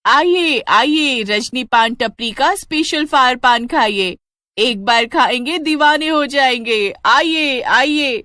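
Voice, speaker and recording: A woman's voice: high-pitched, takes it slowly, sharp tone, no echo, studio quality, voice pushed up, heavily acted. A woman voicing a devoted, determined witch who is a young mother in a cartoon story. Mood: calling out cheerfully. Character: devoted witch daughter-in-law, brave young mother in disguise.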